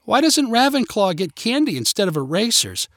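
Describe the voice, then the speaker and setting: A man's voice: high-pitched, wildly swooping pitch, a little fast, breathy edge, no echo, clean studio sound, pitched above the performer's own voice, full character voice. A man voicing a whiny, demanding young child in a storybook. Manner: demanding, whining. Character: young trick-or-treating children, whiny, candy-hungry.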